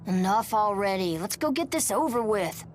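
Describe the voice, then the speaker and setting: A woman's voice: mid-range voice, animated, normal speed, lightly acted. A woman voicing a brash, irritable young wind spirit in a video game, curt and scornful. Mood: impatient.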